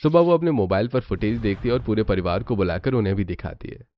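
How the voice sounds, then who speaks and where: Narrator casting: man, lowish voice, scripted cartoon storytelling, dull recording with noticeable noise. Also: sing-song, quick pace. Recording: muffled audio, clear background noise.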